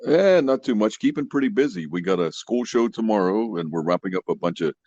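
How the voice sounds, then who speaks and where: A male podcast guest with a fairly low voice chats in a folksy, self-deprecating manner. The recording is dry and a little dull. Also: animated, a little fast, darkish tone, no echo. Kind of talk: casual chat. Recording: slightly dull, lo-fi audio.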